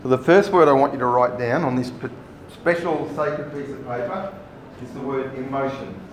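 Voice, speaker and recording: A man running a training workshop, giving relaxed, rambling instructions to the group. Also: medium pitch, moderate pace. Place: meeting room. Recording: echoey room, faint noise.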